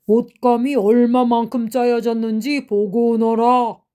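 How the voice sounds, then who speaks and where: Woman playing a pompous, drawn-out storybook emperor, high-pitched. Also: flat delivery, dark tone, lightly acted. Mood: pompous, commanding. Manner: stately, commanding, drawn-out delivery. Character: vain, pompous but gullible storybook emperor.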